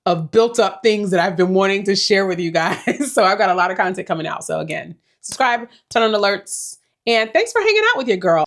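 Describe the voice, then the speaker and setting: Reflective woman in her forties, low voice. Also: vocal fry. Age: 40s. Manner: reflective, rambling, with small laughs. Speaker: vlogger. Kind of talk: casual life-update vlog.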